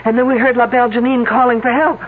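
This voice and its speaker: Radio mystery detective, played by an actor: dry sarcasm, takes charge, mid pitch, narrow noisy vintage recording. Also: little pitch movement, fast, close to an everyday voice. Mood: sardonic. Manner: sardonic, clipped commands. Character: wry, bossy, wisecracking detective taking charge. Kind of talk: 1970s radio drama acting.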